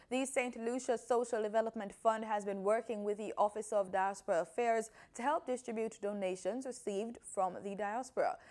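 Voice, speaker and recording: A woman's voice: on the high side, a little mellow. A woman reading a scripted news introduction as an anchor.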